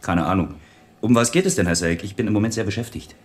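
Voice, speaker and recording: A man's voice: fairly low pitch, fast talker, husky. An actor playing a cheeky, clever male technician in a German radio play, talking in a breezy, wry way. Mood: breezy, teasing. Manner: breezy, wry, playful, explaining. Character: cheeky, clever male computer-savvy emissions surveillance technician.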